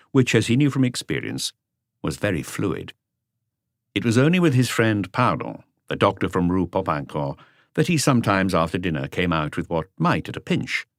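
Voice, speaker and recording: A man's voice: low-pitched, no echo, clean studio sound. A man reading a crime novel as a scripted audiobook narration.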